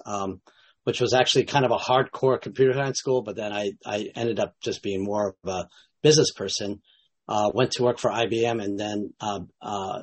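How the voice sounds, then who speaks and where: A man in his late fifties, a company CEO, answering interview questions in a relaxed and hesitant way, with many restarts. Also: lowish voice. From United States.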